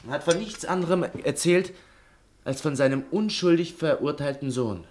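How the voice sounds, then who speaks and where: A man's voice: on the high side, moderate pace, a little mellow, a little hiss or hum, natural voice. An actor in a German radio play playing a clever, earnest, evasive young male pupil, speaking precisely and politely. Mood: earnest, insistent.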